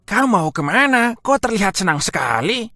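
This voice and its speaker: A woman's voice: medium pitch, very animated, moderate pace, bright, forceful tone, dry recording, faint music behind. A woman voicing a sly, persuasive trickster fox with a coaxing manner in a children's cartoon story.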